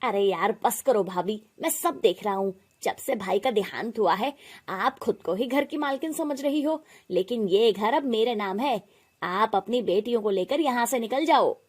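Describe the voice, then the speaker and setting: A woman's voice: fairly high pitch, normal speed, a little mellow, breathy edge. A woman voicing a bossy, callous man in a family story, curt and domineering. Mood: domineering irritation. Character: bossy, callous younger brother-in-law, adult man.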